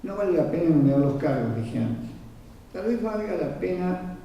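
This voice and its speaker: A man's voice: fairly high pitch, animated, normal speed, gravelly. A man giving a formal history lecture, didactic, reading from notes with asides and checking in with his listeners. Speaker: lecturer at a conference. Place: large reverberant conference room. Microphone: distant microphone. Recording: very echoey hall, muffled, far from mic.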